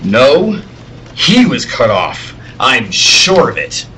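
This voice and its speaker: A man playing an earnest, heroic newspaperman in a radio adventure serial. He talks slowly and deliberately, with bright urgency and dramatic swoops in pitch. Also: huge pitch swings, slight background noise, full character voice, rough recording. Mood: urgent, deducing. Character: earnest male reporter who is secretly a heroic superhero. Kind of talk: old-time radio serial acting.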